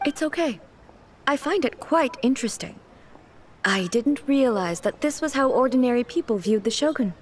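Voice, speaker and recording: A woman voicing a composed, regal female ruler in a video game who speaks in a measured, formal way. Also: a little high, slight background noise, close to an everyday voice. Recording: game audio with loud music.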